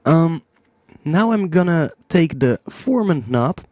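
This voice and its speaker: A man hosting a casual step-by-step music software tutorial, thinking aloud informally as he demonstrates. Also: fairly high pitch, big pitch swings, takes it slowly, no room sound, studio quality. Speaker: music production tutorial host.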